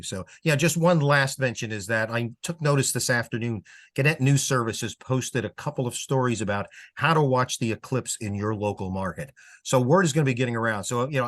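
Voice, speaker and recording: A man's voice: medium pitch, fairly bright tone, no room sound, clean studio sound. A man giving a casual, conversational report in an online club meeting. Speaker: club publicity volunteer. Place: online video call.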